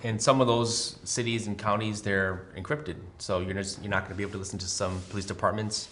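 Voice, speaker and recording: An American man with a slightly low voice talks very quickly as he co-hosts an explainer. He chimes in agreeably and casually, with faint noise behind him. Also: little pitch movement, a bit bright. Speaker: co-host, police scanner expert. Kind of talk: casual explanatory talk in a tutorial video.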